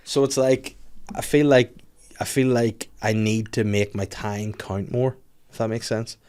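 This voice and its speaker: A man's voice: lowish voice, fairly even intonation, unhurried, darkish tone, smooth. A man, hosting a casual podcast chat, joking and swearing freely. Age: 30s. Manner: jokey, swears freely, acts out quotes. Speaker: podcast host.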